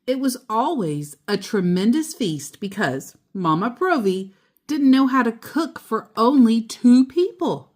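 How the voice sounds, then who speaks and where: A woman storyteller reading a children's picture book aloud, deliberately and with expressive emphasis on key words. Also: a little high.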